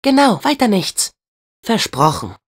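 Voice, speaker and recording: A man playing an eager, loyal teenage boy hero in a video game, with an expressive, earnest, youthful delivery. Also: high-pitched, a little slow, big-room sound, full character voice. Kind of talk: video game voice acting, German dub.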